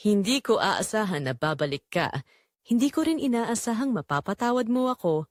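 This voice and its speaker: A woman voicing a quiet, remorseful husband, earnest and tender, in an audio drama. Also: medium pitch, very animated, moderate pace, dry recording, clean studio sound, natural voice. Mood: earnest regret. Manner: earnest, apologetic.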